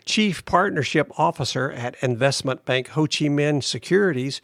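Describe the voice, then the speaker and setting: A man hosting a podcast, reading a news article aloud in a steady, plain delivery. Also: on the high side, dry recording, studio quality. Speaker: podcast host.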